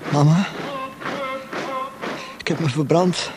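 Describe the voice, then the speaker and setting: A man playing a tortured prisoner in a radio play, frightened and desperate, with halting, pleading, anguished speech. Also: fairly high pitch, over music. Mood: feverish, anguished.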